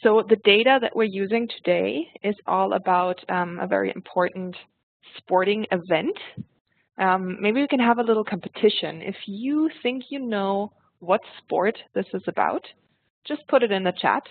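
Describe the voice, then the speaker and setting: A woman from Germany with a German accent, presenting a software tutorial webinar in a friendly, explanatory way and speaking straight to her audience. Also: mid-range voice, normal speed, vocal fry, no room sound. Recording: dry tinny phone-like line.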